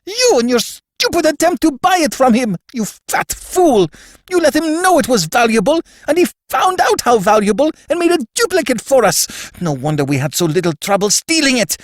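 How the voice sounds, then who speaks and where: A man voicing a shrill, hysterical male conspirator who screams and splutters. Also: big pitch swings, moderate pace, dry recording, heavily acted. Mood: furious.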